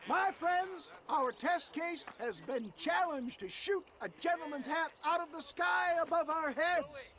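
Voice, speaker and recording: A man's voice: extremely high pitch, measured pace. An actor playing a pompous older travelling medicine salesman, speaking in florid, theatrical patter for a western game. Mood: showman's fervour. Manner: florid, theatrical, orotund showman's delivery. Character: pompous old snake-oil peddler, flamboyant and grandiloquent. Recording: game audio with noisy outdoor ambience.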